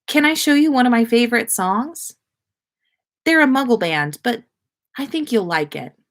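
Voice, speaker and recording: A woman's voice: a little high, animated, normal speed, voice pushed up. A woman voicing a friendly, curious schoolgirl who speaks eagerly in a story read aloud. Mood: playful.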